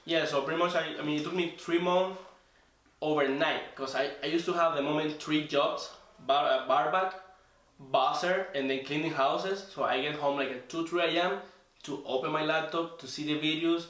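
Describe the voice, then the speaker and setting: A young man from Venezuela with a strong Spanish accent. He speaks English as a second language, earnest and halting, and repeats words while he searches for the English. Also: fairly high pitch, fairly bright tone. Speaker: trading student guest. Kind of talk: casual interview storytelling. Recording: echoey room, voice from a distance.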